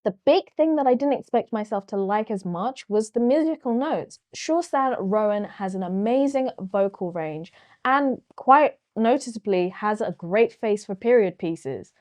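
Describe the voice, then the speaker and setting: A woman's voice: mid-range voice, animated, measured pace, a little mellow. A woman with a Cockney accent reviewing a film on her own channel, frank and opinionated. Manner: frank and opinionated, with occasional fillers. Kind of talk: solo movie review to camera.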